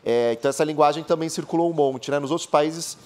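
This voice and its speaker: A man moderating a live talk on a book fair stage, measured and explanatory, passing on questions from the audience. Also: on the high side, a little mellow. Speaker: interviewer and columnist.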